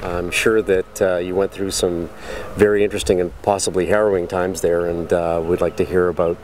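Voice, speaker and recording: A middle-aged man asking conversational interview questions in a measured, friendly way. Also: sits low, little pitch movement, darkish tone, a little hiss or hum. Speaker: interviewer, physician colleague.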